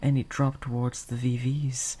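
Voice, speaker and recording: A performer voicing a gentle, lovesick, possessive vampire in an intimate audio roleplay, soft and breathy, with formal, hesitant, old-fashioned phrasing. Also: mid-range voice, level tone, moderate pace, close to an everyday voice. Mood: formal, apologetic. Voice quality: soft, breathy, often dropping toward a whisper.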